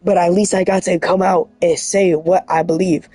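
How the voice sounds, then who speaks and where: A man with a hoarse, scratchy voice, rambling earnestly and excitably as a livestreamer. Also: high-pitched, normal speed, dry recording. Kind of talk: casual livestream monologue.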